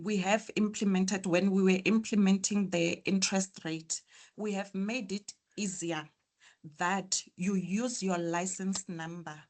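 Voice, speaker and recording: Soft-voiced woman, slow and hesitant. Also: medium pitch. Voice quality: soft, quiet voice. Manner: hesitant, many ums and restarts. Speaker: association official answering members. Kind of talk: online meeting Q&A.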